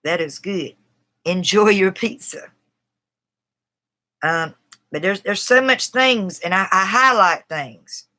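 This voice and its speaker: A woman's voice: mid-range voice, slow, pauses often, pushed and bright. A woman from the Southern US with a Southern accent, talking casually on a livestream about a writing book, rambling and greeting viewers by name. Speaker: writer discussing a book on a livestream. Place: outdoors.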